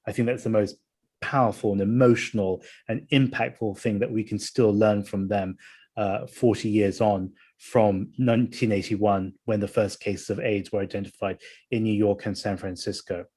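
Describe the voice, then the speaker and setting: Man moderating a panel, measured. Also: lowish voice, steady tone, darkish tone, slightly rough. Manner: measured, reads out audience questions.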